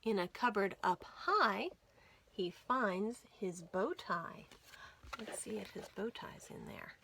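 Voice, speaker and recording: A woman hosting a children's storytime, reading picture books aloud in an expressive, sing-song way. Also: mid-range voice, takes it slowly, creaky, slight background noise. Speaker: children's library storytime host. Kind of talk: animated picture-book read-aloud for young children.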